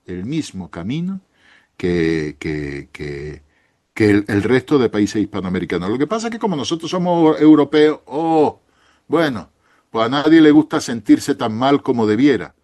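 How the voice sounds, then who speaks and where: A man's voice: medium pitch, sing-song, normal speed, a little mellow. Emphatic man with a Spain Spanish accent. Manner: emphatic, restarts phrases while arguing. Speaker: guest author. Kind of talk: interview answers on history.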